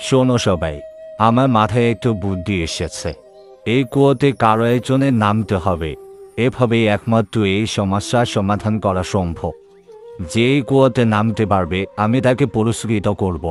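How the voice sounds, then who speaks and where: A man's voice: on the low side, moderate pace, faint music behind, natural voice. A man voicing an authoritative village headman in a cartoon, speaking in a commanding, reassuring way. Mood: decisive. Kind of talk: cartoon voice acting.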